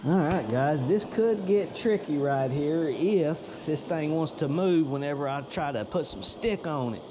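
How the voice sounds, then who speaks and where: A man's voice: fairly high pitch, expressive, a little slow, noisy background. Folksy man in his workshop. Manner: folksy and chatty, talks to viewers as "y'all". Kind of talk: casual workshop narration.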